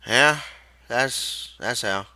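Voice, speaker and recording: Guy doing a tired ranch worker calming his buddy, folksy talk, bit raised and tense, audiobook. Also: mid-range voice, drawn-out words, no echo, voice pushed up, heavily acted. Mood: tired, shaken. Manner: rustic folksy speech, reassuring. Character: weary, protective ranch hand soothing his friend.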